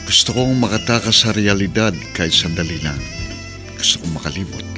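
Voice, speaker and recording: An actor playing a jilted bachelor drinking to forget in a Filipino radio drama, brooding and drawling, with a Filipino accent. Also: male voice, sits low, expressive, fairly quick, over music.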